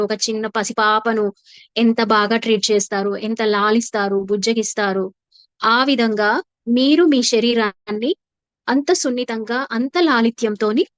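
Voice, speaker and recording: A woman leading a guided meditation as a teacher, soothing and unhurried, with long pauses and repeated phrases. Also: on the high side, no room sound. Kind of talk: guided meditation, slow instructive narration.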